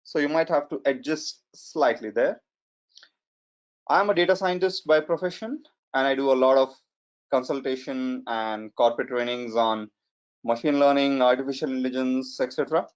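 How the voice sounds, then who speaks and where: A man in his forties with a strong Indian accent, giving an online lecture in a measured, hesitant way with fillers. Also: medium pitch. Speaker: data science trainer. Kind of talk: webinar lecture. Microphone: computer mic on a video call. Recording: slightly muffled online webinar audio.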